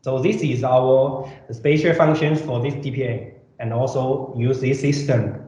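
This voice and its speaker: A man giving a live product demonstration in halting, simple non-native English, often repeating himself. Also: medium pitch, fairly even intonation, mellow. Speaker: product presenter. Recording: roomy echo, dull sound, faint noise.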